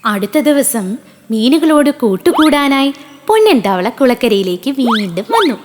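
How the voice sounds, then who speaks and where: A woman's voice: high voice, sing-song, a little slow, soft background music. A woman reading scripted narration for a children's story.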